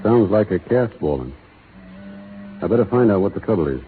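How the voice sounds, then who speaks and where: An actor playing a laconic young cowboy who talks in a slow, folksy rural drawl, in a 1930s radio drama. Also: male voice, on the low side, expressive, close to an everyday voice. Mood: earnest. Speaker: lead actor in a radio play. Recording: tinny 1930s radio broadcast with faint hiss.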